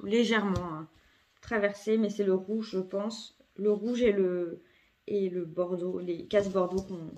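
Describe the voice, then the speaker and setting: A woman with a cold chatting casually through a craft unboxing at home, hesitant, with many fillers and self-corrections. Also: medium pitch. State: has a cold. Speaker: craft vlogger.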